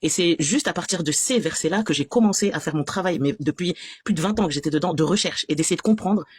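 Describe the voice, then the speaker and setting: A woman from France giving an animated, exasperated and sarcastic personal testimony as a guest on a live stream. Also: lowish voice, quick pace, husky, no room sound.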